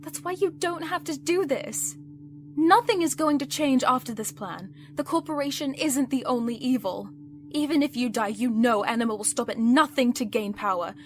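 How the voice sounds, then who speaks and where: A woman's voice: high voice, moderate pace, soft background music, natural voice. A woman playing a protective, exasperated companion to a villain in an audio roleplay, speaking earnestly and reproachfully. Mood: insistent, hurt. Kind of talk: dramatic audio roleplay acting.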